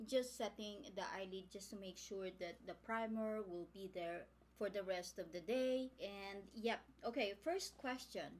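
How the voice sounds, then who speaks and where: A woman with a Filipino accent, her first language Tagalog, talks through her makeup routine in a chatty, upbeat way. Her pitch sits in an ordinary middle range. Faint background noise from the home runs under her voice. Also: unhurried, hardly any pauses. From Philippines. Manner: chatty, upbeat, addresses viewers directly. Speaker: beauty vlogger. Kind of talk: makeup tutorial chat.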